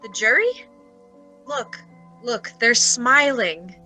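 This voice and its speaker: A woman playing a concerned, excitable friend of an accused young woman, urgent and emphatic, in old-time radio drama style. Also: a little high, slow pace, soft background music, heavily acted. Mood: excited relief.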